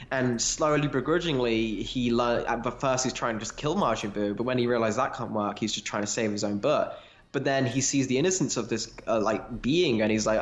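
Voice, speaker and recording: A man in casual analytical fan discussion as a podcast co-host, explaining as he thinks aloud, with some swearing. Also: mid-range voice. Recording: echoey, roomy sound.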